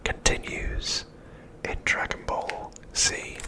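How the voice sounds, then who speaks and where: Whispering man, ASMR narration. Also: takes it slowly. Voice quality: unvoiced, breathy whisper. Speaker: ASMR host.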